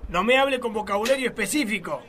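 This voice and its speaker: A man's voice: high voice, lively intonation, quick pace, sharp tone, slight background noise. A man playing an arrogant, scheming rich villain in a live radio play, bossy and scornful. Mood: scheming, condescending. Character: arrogant, scheming, sexist rich man plotting with a police chief.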